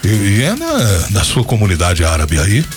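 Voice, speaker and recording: Brazilian man, radio host, animated intonation, colloquial storyteller, casual chat, music in the background. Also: fairly low pitch, normal speed. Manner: chatty, colloquial, anecdotal. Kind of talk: casual chat with live ad reads.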